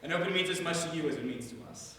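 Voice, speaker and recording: A man's voice: fairly high pitch, racing, a bit bright. Man addressing an audience, very echoey hall. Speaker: show co-creator introducing a staged reading. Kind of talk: live stage introduction to an audience. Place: indoor venue with live audience. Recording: echoey hall, distant mic, clearly noisy.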